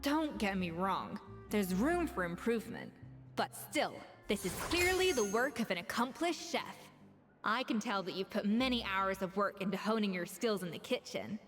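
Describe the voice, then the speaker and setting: A woman voicing an exacting, haughty young master chef in a video game, crisp and cutting. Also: medium pitch, big pitch swings, normal speed, fairly bright tone, long reverb tail, faint music behind. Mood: measured approval.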